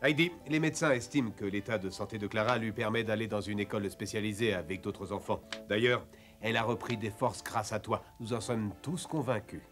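An actor playing a kindly, reassuring gentleman in a French cartoon dub, speaking in a measured, explanatory way. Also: fairly low pitch, soft background music, natural voice.

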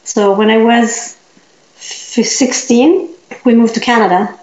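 An upbeat woman from Italy tells her story as a podcast guest. Her voice sits a little high, and it comes through a roomy, somewhat dull recording. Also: fairly even intonation, slow, a little mellow. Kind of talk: casual interview talk.

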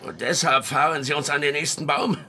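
An actor playing a sarcastic, grumbling older horror author in a radio play. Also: male voice, on the high side, steady tone, fairly quick, pushed and bright, slight background noise. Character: older male horror author, dry and sardonic.

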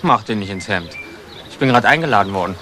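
A man's voice: lowish voice, expressive, quick pace, noisy background, close to an everyday voice. A young man acting a cheeky, easygoing homeless youth in a radio crime drama, talking in casual slang.

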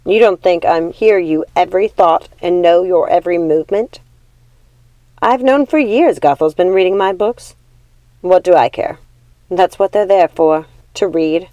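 A woman's voice: on the low side, a little slow, mellow, dry recording, dull audio, lightly acted. A woman voicing a scornful, wrathful old witch mother in a storybook, mocking and commanding. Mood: scornful amusement.

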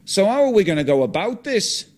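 A man's voice: high-pitched, big pitch swings, fairly quick, a little mellow, voice pushed up. A man voicing a blunt, scheming male friend who talks in a brash, teasing way.

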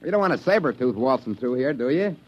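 A man's voice: medium pitch, animated, fast talker, close to an everyday voice. A man acting a wary, practical expedition member ready with a rifle in a 1950s radio drama. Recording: dull, noisy old radio recording.

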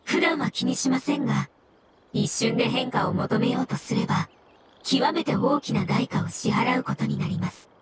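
A woman playing a gentle, earnest floating mushroom creature in a Japanese video game, speaking formally and with courteous composure. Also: a little high, normal speed, heavy background noise, natural voice. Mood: earnest, grateful. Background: background music.

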